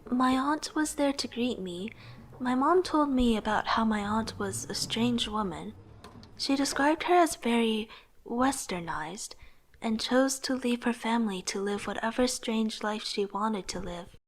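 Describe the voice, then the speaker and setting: A young woman playing an aspiring artist, narrating her own story in a soft, introspective, wistful way. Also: a little high, expressive, unhurried, a little hiss or hum, rough recording. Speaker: narrator and lead character. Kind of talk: reflective first-person narration with dialogue.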